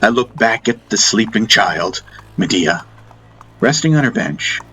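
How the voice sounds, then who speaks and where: A man narrating a first-person fantasy audiobook in deliberate, dramatic short phrases. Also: fairly low pitch, lively intonation, pushed and bright, a little hiss or hum, low-quality recording. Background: music.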